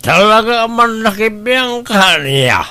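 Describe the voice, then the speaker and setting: A high, forceful voice of a man acting as a surly drunkard father in a radio drama. His pitch swings widely and his delivery is slow, defiant and selfish. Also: sing-song, smooth, full character voice. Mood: defiant, irritated.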